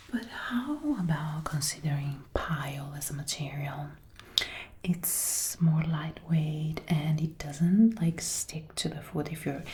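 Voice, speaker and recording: A woman doing a gentle, attentive ASMR roleplay as an outfit designer, soft-spoken and often whispering, breathy and close to a binaural mic. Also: fairly low pitch, echoey room, slight background noise. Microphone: close binaural microphone, ear to ear.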